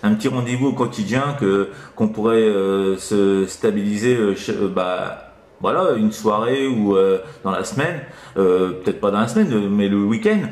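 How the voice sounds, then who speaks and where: A man's voice: fairly low pitch, animated, normal speed, a little mellow. A man chats casually as a CB radio operator, hesitant and rambling with frequent tag words. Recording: roomy echo around the voice.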